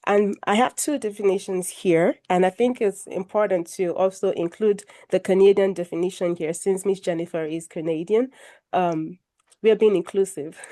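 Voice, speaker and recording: A woman moderating an online health discussion over a video call, getting over a cold and a little croaky, making formal introductions with light self-deprecating humor. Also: fairly low pitch, dark tone. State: recovering from a cold, a bit croaky. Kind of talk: hosting a video call panel, presenting slides.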